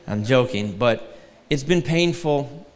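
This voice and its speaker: A man's voice: medium pitch, lively intonation, takes it slowly, big-room sound, slight background noise. A man in his forties from Detroit, a guest preacher giving a sermon with a light, thin voice and a joking, self-deprecating manner. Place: large church hall.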